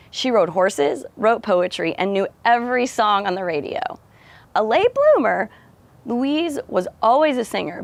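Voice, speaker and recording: A woman hosting a folk concert, reading a scripted introduction of the next artist in a measured way. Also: fairly high pitch, creaky. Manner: measured, read-aloud delivery. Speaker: concert host.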